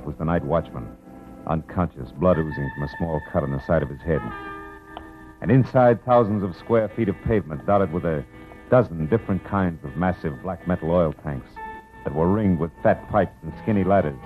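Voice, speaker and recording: An actor playing a tough, wisecracking private detective in a clipped, sardonic 1940s radio style. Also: male voice, deep voice. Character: wry, tough male private detective narrating his case. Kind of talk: 1940s radio detective acting and hard-boiled narration. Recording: dull, muffled old radio recording with music.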